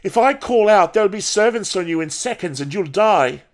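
A proud noble boy, acted by a male narrator in an audiobook story. He speaks slowly and intensely, defiant while holding fear in check. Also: on the high side, steady tone. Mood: indignant.

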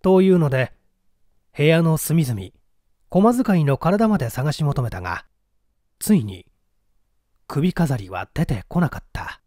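A man's voice: on the high side, big pitch swings, measured pace, long pauses, dark tone, dry recording, clean studio sound. A man narrating a mystery story from a script as an audiobook reader.

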